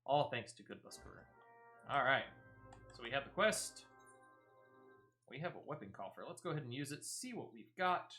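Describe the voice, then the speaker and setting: A man's voice: medium pitch, sing-song, normal speed, pauses often, bright, forceful tone, soft background music. A man giving casual live gameplay commentary as a streamer, chatty and emphatic, often repeating phrases. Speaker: game streamer.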